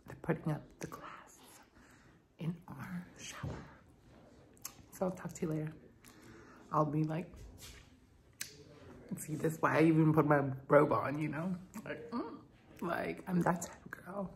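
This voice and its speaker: A woman's voice: low voice, a little slow, vocal fry, long pauses. A young woman in her twenties chatting casually to camera in a vlog, full of "like" and "um" fillers, recorded on a phone held at arm's length. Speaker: vlogger. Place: home kitchen indoors, later a rooftop terrace outdoors. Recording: ambient background noise.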